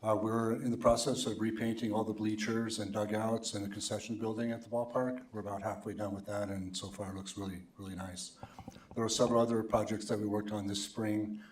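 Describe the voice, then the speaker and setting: A man giving a plain, steady report as a ballpark association representative during public comment. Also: on the low side, a little fast, slight background noise. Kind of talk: public comment at a council meeting. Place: council chamber. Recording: echoey council chamber.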